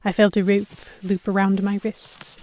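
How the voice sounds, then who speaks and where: A woman's voice: mid-range voice, monotone, moderate pace, a little mellow, close to an everyday voice. A woman playing a defiant, sheltered young lady held by pirates, narrating an audio drama in first person with articulate, literary phrasing. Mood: tense, alarmed. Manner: articulate, literary, reflective storytelling.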